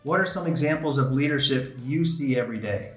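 A woman's voice: a bass voice, brisk. A woman interviewing young students, encouraging and affirming as she moves from question to question. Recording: echoey room with music behind.

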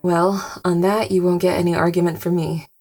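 A woman's voice: fairly low pitch, level tone, normal speed, loud music behind the voice, lightly acted. An actress playing a candid wife who spars affectionately with her husband in an audio drama, casual and teasing.

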